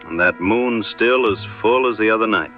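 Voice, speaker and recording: A man's voice: fairly low pitch, normal speed, narrow, tinny audio, close to an everyday voice. A man playing a skeptical, loyal frontiersman sidekick in an audio drama, speaking in a folksy way. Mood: alert. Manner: folksy, incredulous. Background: music.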